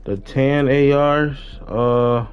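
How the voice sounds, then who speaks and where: A man's voice: medium pitch, fairly even intonation, drawn-out words, clear, resonant tone. A young American man chatting casually and slangily on a gaming livestream, often muttering to himself. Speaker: live streamer. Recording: dull lo-fi streaming mic.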